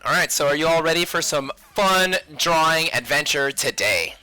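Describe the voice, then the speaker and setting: A man's voice: a little high, lively intonation, moderate pace, sharp tone, faint music behind, poor audio. A man hosting a casual drawing livestream, chatting playfully with viewers and talking to himself as he works. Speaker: livestream host, sketch artist.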